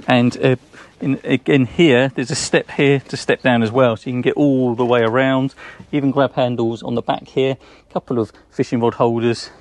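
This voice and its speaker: An English man in his forties, speaking at an average pitch, gives an easygoing walkthrough of a boat. He talks in a chatty, conversational way, full of ums, while moving about the deck outdoors in a marina. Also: normal speed. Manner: relaxed, chatty, frequent ums, pointing out features. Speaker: boat sales presenter. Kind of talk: casual walkthrough tour.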